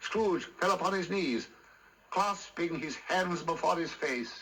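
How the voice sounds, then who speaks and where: A man narrating a classic tale for a radio drama, with measured, theatrical, deliberate phrasing. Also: fairly high pitch, bright, forceful tone, husky, poor audio.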